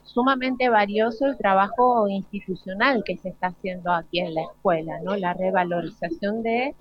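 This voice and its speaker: Argentine woman on a panel, mid-pitched, thinking out loud carefully, a bit hesitant, muffled lo-fi audio. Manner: deliberate, hesitant, reflective. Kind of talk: reflective unscripted panel talk. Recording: dull lo-fi live broadcast.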